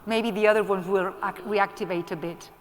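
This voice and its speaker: A woman's voice: mid-range voice, steady tone, normal speed, slight background noise. A woman moderating a conference panel, coaxing and encouraging the audience to speak up. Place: conference hall. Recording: long hall reverb.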